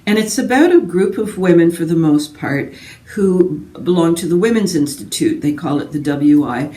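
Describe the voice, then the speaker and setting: A woman talks casually to her viewers in a chatty, self-deprecating way. Her voice is very mellow and relaxed. She is recorded a little way from the microphone, in a room with noticeable echo. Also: on the low side, moderate pace. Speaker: book vlogger. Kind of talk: casual rambling chat to viewers. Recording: roomy echo, distant microphone.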